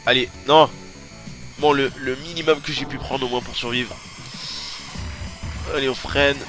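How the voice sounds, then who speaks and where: A man with a stuffy cold giving excitable, swearing commentary over his own racing gameplay. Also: mid-range voice, measured pace. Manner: excitable, swearing, yelps and laughs while playing. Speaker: let's play host. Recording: game music over voice. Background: loud game music.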